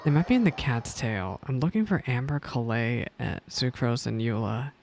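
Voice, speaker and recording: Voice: mid-range voice, expressive, normal speed, creaky, darkish tone. A gaming streamer giving casual, informal reaction commentary, often exclaiming and repeating words. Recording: voice over game music. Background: video game music.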